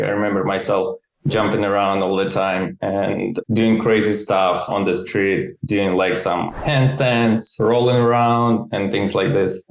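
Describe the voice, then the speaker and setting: Casting: male interview guest from Ukraine, Eastern European accent, non-native English, lowish voice, informal and chatty, roomy echo. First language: not English. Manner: informal, peppers speech with "like". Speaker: interview guest, gymnastics coach and QA engineer. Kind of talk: casual conversational interview. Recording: roomy, echoey sound.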